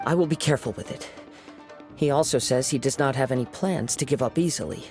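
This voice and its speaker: An actor playing a calm young male wandering samurai in a game, measured and poetic. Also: mid-range voice, over loud music, natural voice. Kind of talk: game voice acting.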